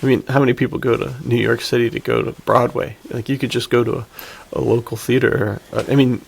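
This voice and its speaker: Man with a heavily creaky, gravelly voice. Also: medium pitch, level tone, fast. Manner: informal, slangy. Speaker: podcast host. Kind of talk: casual banter between friends.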